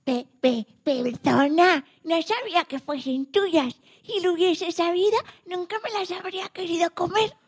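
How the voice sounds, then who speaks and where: An eager, polite young girl acted by a woman in a children's radio story. Her voice is high and she is quick to apologise. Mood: flustered, apologetic.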